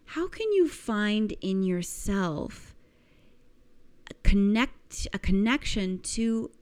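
A woman speaking as a life coach in a motivational talk, deliberate and emphatic, with a slightly croaky voice. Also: mid-range voice, a little mellow. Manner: deliberate, emphatic, with rhetorical questions and repetition. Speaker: life coach giving a lesson.

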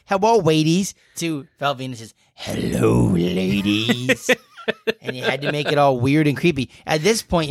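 A man's voice: fairly high pitch, creaky. A man co-hosting a casual podcast, chatty and teasing, laughing easily and rambling with fillers. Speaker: podcast co-host.

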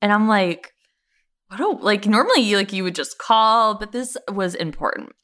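A woman with a bright, forward voice and swooping intonation chats as a podcast co-host. She tells pop-culture stories in a casual, animated style. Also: medium pitch, moderate pace. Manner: animated, chatty storytelling.

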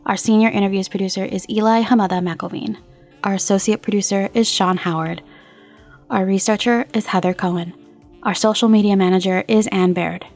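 A woman's voice: mid-range voice, fairly even intonation, brisk, a little mellow. Woman host reading evenly over music. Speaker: podcast host. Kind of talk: scripted outro narration. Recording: music playing behind the voice.

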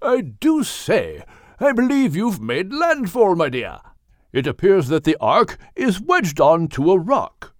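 A woman voicing a kindly, dignified male whale with a British accent and formal, measured manners in an audiobook. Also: lowish voice, huge pitch swings, no echo, studio quality, voice pushed up, full character voice. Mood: pronouncing. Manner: formal, measured, hesitant courtesy.

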